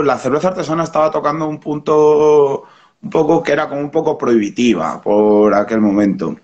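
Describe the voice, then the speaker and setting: A man in his thirties with a Spanish accent, a brewery founder talking as a guest over a video call from a small room at home. He speaks casually, in a rambling way with many restarts. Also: medium pitch. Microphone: webcam or laptop mic on a video call.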